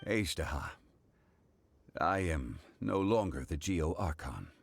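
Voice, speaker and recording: A man voicing a calm, dignified former god living as a gentleman in a video game, formal and measured. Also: low voice, creaky, no echo, heavily acted. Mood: stately, resolute. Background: music behind the voice.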